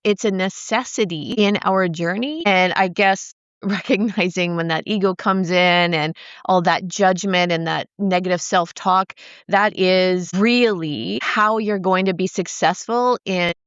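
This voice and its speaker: A woman's voice: on the low side, measured pace, clear, resonant tone, no room sound, highs cut off. A woman co-hosting a podcast, talking earnestly in casual conversation, often asking "right?" and repeating words as she thinks. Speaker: podcast co-host.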